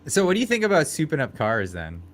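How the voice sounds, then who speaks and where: A man of forty hosting a casual chat, relaxed and quick to laugh. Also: a little high, a little hiss or hum. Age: 40s. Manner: laid-back, laughs often.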